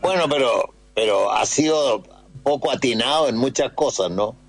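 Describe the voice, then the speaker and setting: A man interviewing in a conversational way, engaged and quick to chime in. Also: mid-range voice, expressive, creaky, husky, rough recording.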